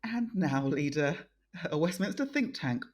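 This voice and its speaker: A middle-aged woman born in Nigeria and raised in London, giving thoughtful interview answers as a guest, with frequent pauses and restarts. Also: sits low, very animated, darkish tone. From born in Nigeria, raised in South East London. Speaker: guest, think tank director.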